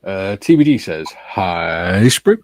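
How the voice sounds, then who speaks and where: Low-voiced man hosting a chatty stream. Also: very animated, slow pace, no room sound. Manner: chuckling, jokey. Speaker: live stream host. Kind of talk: casual chat, reading chat messages aloud.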